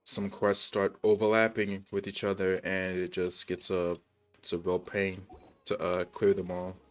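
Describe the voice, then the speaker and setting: A man streaming a game who talks in a relaxed, halting way with many fillers. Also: low voice. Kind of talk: casual gameplay commentary. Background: game music.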